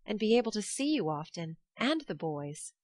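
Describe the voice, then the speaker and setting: A woman's voice: mid-range voice, big pitch swings, normal speed, darkish tone, dry recording, clean studio sound, pitched above the performer's own voice. A woman reading as an eager young woman in love.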